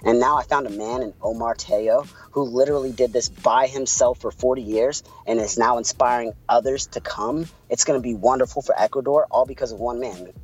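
A man with a Puerto Rican accent, co-hosting a podcast and telling stories in a relaxed, chatty way. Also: medium pitch, brisk, hardly any pauses, low-quality recording. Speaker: podcast co-host. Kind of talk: casual podcast storytelling.

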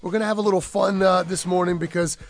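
A man's voice: high voice, steady tone, fast. A man co-hosting a morning radio show, joking and teasing his partner and laughing easily. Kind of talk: bantering morning radio chat.

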